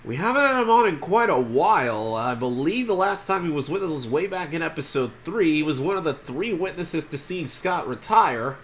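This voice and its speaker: An upbeat, chatty male game-show host whose voice sounds congested from allergies. He talks in a fairly high register and clears his throat now and then. A faint noise hums underneath. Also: expressive, moderate pace, few pauses, a bit bright. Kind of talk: homemade game-show hosting.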